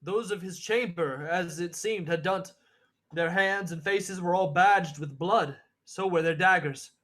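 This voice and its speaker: A man's voice: high voice, measured pace, pushed and bright. A young man acting as an earnest narrator and nobleman in a Shakespeare radio play. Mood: grave. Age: young adult. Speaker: student actor, narrator and supporting parts.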